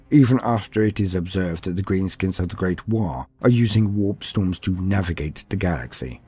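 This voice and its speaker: A man's voice: sits low, dark tone, breathy edge. A man giving a scripted, dramatic narration of fantasy war lore. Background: music.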